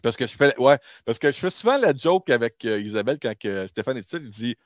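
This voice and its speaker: A man's voice: mid-range voice, expressive, fast, dry recording. Male host, Quebec French accent, colloquial storyteller, close dry sound, mid-range voice. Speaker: talk show host. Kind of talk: casual group chat about nostalgia and toys.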